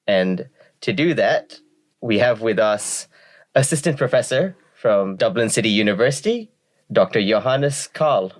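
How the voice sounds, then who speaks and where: A man hosting a podcast, reading a disclaimer and introducing his guest in a friendly, slightly halting way. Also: on the low side, lively intonation. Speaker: podcast host.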